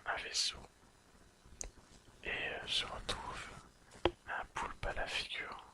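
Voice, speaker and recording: A person whispering an ASMR episode recap in a careful reading manner. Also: takes it slowly. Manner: careful reading, apologises and corrects slips. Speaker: ASMR video creator.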